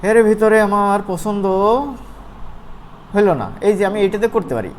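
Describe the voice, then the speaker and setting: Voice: mid-range voice, expressive, normal speed, slight background noise. An animation course instructor with a regional Bangladeshi Bengali accent, teaching informally and often checking in with questions. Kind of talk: informal live software tutorial.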